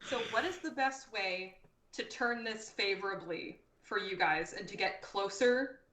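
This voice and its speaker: A woman acts as game master for a tabletop roleplaying game. She narrates and makes rulings deliberately, working them out aloud. Her mid-range voice carries a clear room echo. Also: mid-range voice, animated, bright, forceful tone, slight background noise. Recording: roomy echo.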